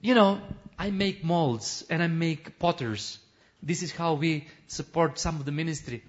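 A man who is a missionary pastor, preaching an impassioned testimony sermon in non-native English, building to shouts, with frequent restarts. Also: fairly high pitch, a little slow. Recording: dull lo-fi recording.

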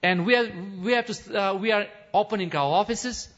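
A man who is a missionary pastor, preaching an impassioned testimony sermon in non-native English, building to shouts, with frequent restarts. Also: high voice, normal speed. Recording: dull lo-fi recording.

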